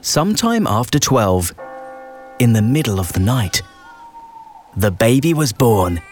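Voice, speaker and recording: A man narrating a rhyming children's bedtime story in a measured storytelling delivery with deliberate pauses. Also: medium pitch, big pitch swings. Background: festive music and sound effects.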